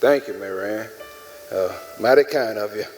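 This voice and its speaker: A man's voice: on the low side, normal speed, a little mellow, close to an everyday voice. An actor playing an anguished enslaved husband and father in an emotional, theatrical stage drama. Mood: guarded, subdued. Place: large indoor theater stage. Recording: echoey theater stage, music playing underneath.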